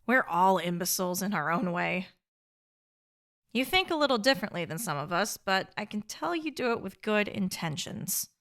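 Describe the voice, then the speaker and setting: A woman's voice: mid-range voice, sing-song, moderate pace, fairly bright tone. A woman playing a kind, hesitant, easily flustered survivor in a radio play. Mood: gentle.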